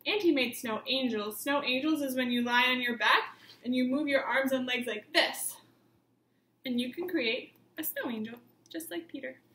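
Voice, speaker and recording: A woman teacher reading a picture book aloud to young children, with expressive emphasis and simple questions put to the listeners. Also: high-pitched, moderate pace, echoey room, a little hiss or hum. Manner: simple instructive phrasing, questions addressed to children.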